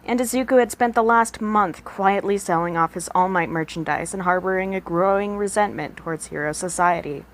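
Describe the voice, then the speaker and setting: A woman's voice: medium pitch, fairly quick, hardly any pauses, slight background noise. A woman narrating a story as an amateur audiobook reader, working from a written script. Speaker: podfic reader.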